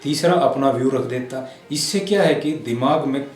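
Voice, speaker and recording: A middle-aged man, telling anecdotes as an interview guest in a colloquial, halting way. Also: mid-range voice, darkish tone. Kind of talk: casual anecdotal interview talk. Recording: roomy echo, music underneath.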